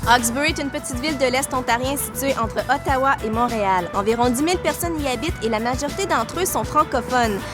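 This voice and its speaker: A woman host giving clear, scripted presenter narration. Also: high-pitched, quick pace, hardly any pauses. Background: music.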